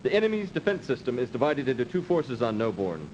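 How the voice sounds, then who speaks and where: Dutiful space officer played by a man, mid-pitched, formal and cautious, video game briefing, lo-fi audio. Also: sing-song, darkish tone, natural voice. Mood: formal, serious. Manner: formal, measured. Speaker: military adviser in a video game. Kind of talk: 1990s video game cut-scene acting. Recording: dull, noisy old CD game audio.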